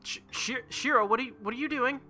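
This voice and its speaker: A man's voice: very high voice, quick pace, sharp tone, soft background music, pitched above the performer's own voice, heavily acted. A man voicing an earnest young female knight in a visual novel, speaking formally and stiffly. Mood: flustered, pleading. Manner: formal, stiff, uncontracted speech.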